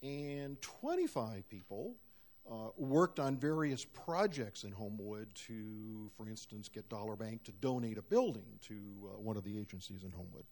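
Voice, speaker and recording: A man presenting a community project to a congregation in measured, hopeful prepared remarks. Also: on the low side, lively intonation, darkish tone. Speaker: church member presenting a project.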